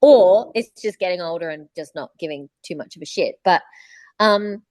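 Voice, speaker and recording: A woman's voice: lowish voice, lively intonation, moderate pace, darkish tone, no echo. A woman co-hosting a podcast, telling stories in blunt, jokey, slangy casual chat. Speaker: podcast co-host; voice actor.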